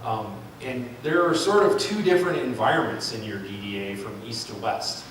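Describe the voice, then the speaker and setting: Man, consultant giving a talk, halting ums and restarts, distant mic, roomy hall, background noise. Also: mid-range voice, fairly bright tone. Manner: thinking aloud, frequent ums and restarts. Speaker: consultant presenting a market analysis. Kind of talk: public presentation with Q&A. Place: large room at a public forum. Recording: echoey hall, far from mic, noisy.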